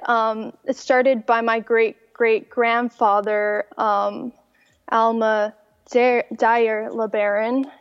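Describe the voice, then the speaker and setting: A young woman from Mexico, a guest sharing her life story in a friendly conversation and laughing easily. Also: a little high. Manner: cheerful, laughs often. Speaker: interview guest. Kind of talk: personal testimony in conversation. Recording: dull audio with long reverb.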